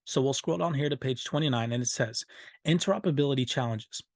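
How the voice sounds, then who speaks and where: A man's voice: medium pitch, fast, dry recording, clean studio sound. A man hosting a crypto video breakdown, talking viewers through clips and documents with repeated stock phrases. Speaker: crypto channel host.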